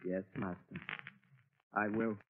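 A timid, obedient servant speaks softly and slowly, always deferring to his master. The sound is thin and tinny, like an old radio broadcast. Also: on the low side, steady tone. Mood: meek. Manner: meek, slow and deferential. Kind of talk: 1930s radio drama acting.

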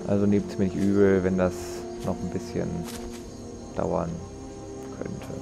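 Man, gaming commentary, rambling, joking, gravelly, game music under. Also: sits low, level tone, unhurried. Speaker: let's play host. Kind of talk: casual gameplay commentary.

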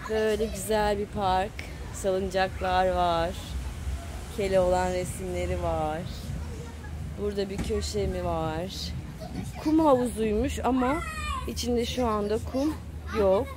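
A woman's voice: mid-range voice, measured pace, background noise. A woman chatting casually to the camera and calling out to children in a sing-song way, recorded on a handheld phone at an outdoor playground. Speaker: parent filming a family vlog.

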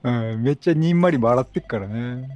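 A man's voice: mid-range voice, a little fast, darkish tone, faint music behind. A man giving casual let's play commentary, chatty and reacting aloud, questioning and repeating lines. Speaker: gaming streamer.

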